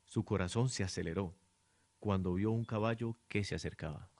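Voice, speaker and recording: A man's voice: lowish voice, moderate pace, noisy background. A man reading scripted narration for a radio drama in a dramatic storytelling manner.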